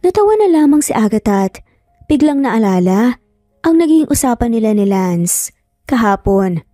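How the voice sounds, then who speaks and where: Mellow, high-voiced woman storyteller. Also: big pitch swings, normal speed, dry recording, studio quality. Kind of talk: scripted dramatic narration of a romance story. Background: background music.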